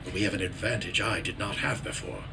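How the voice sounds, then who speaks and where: An actor playing a seasoned old alien general in a video game, a gruff, commanding mentor who instructs theatrically. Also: male voice, sits low, steady tone, fast talker, background noise. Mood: thoughtful. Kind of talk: video game cutscene acting.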